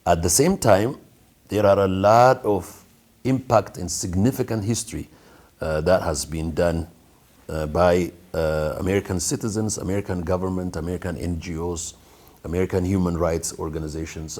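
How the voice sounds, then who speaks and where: A middle-aged man from Ethiopia, a pastor and school founder, giving earnest, measured answers with frequent fillers in an interview. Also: deep voice, a little mellow.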